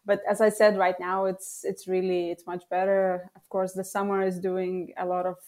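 A woman's voice: fairly low pitch, little pitch movement, moderate pace, a little mellow. Young Lithuanian-born woman guest, giggly. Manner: laughs often, many ums and uhs. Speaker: guest copywriter. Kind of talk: casual remote interview. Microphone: video call.

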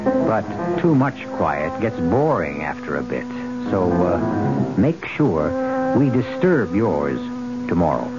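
A man narrates as the host of an old radio drama, theatrical and philosophical. His phrasing is measured, with broad swings of pitch. The broadcast sound is dull, and music plays loudly behind him. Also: very animated. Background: loud music.

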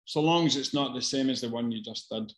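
Casting note: man, casual casting-director Q&A, hesitant and restarting, mid-pitched, roomy echo. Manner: halting, many fillers and restarts. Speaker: casting director answering questions. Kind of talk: casual Q&A advice.

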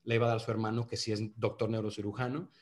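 A man's voice: fairly low pitch, flat delivery, rapid-fire. A man in his thirties with a Monterrey accent, chatting as a guest on a podcast, full of restarts and fillers. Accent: northern Mexican (Monterrey). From Monterrey, Mexico. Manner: many restarts and fillers, earnest then joking. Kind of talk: casual interview chat.

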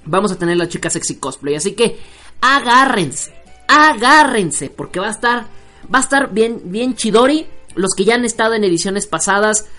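A man hosting an online radio show, chatting casually and joking, with many fillers and restarts as he reads out listener shout-outs. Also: sits high.

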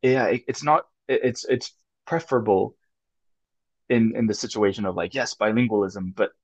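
Young American man, low-pitched, musing and halting; muffled dry sound. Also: no echo. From United States. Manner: thoughtful, many restarts and fillers, laughs often. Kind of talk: casual conversation.

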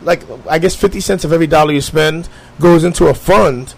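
A man hosts a talk show, asking friendly leading questions and often stumbling into restarts. His voice sits high, with a gravelly edge of fry. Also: a little fast. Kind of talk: interview questions.

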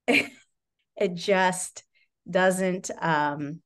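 A woman sharing reflectively in a recovery study meeting over a video call, pausing often with fillers as she searches for words. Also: on the low side, steady tone.

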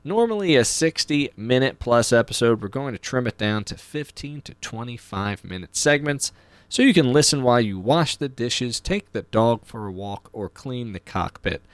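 A man presents as a podcast host with very lively, swooping intonation and a mid-range voice. He sounds chatty and informal, slipping in playful asides. The sound is dry and close, with little room to it. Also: mid-range voice, moderate pace, no room sound.

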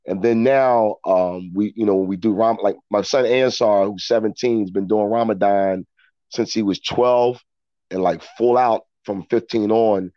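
A man's voice: lowish voice, steady tone, warm tone, no room sound. Middle-aged American man, dull close recording. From Philadelphia, United States. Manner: halting, repeats words as he thinks. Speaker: interview guest, actor and storyteller. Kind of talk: reflective personal storytelling in conversation.

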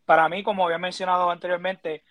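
Measured baseball analyst. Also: male voice, high voice, level tone, fairly bright tone, no room sound. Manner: measured, filler "este" and "verdad". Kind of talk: casual sports talk.

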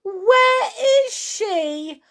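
Woman acting out a shrill, wailing storybook mother. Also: animated, a bit bright, full character voice. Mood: frantic despair. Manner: wailing, crying out, drawn-out words. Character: overwrought, distraught mother in a children's storybook.

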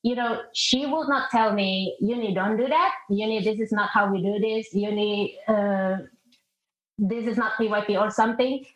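A woman's voice: medium pitch, normal speed. A middle-aged woman with an Indonesian accent, talking reflectively about herself as a guest in an interview. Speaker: podcast guest, international teacher. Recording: muffled, roomy call audio.

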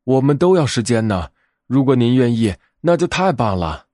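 Eager young bear cub for a storybook, lively and cheerful male reader. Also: mid-range voice, normal speed, dry recording, lightly acted.

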